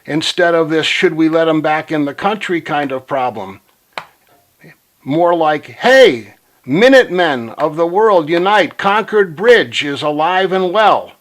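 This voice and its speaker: Earnest, insistent man, slightly high-pitched. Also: expressive, measured pace, rough recording. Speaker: caller. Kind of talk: phone call pitching ideas.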